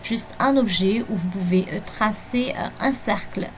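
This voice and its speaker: A woman whose first language is Malagasy, narrating a journal planning video in a hesitant way with many fillers and soft laughs. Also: mid-range voice, measured pace, a little hiss or hum, poor audio. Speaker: bullet journal vlogger. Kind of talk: voice-over narration of a plan with me. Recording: faint music behind voice-over.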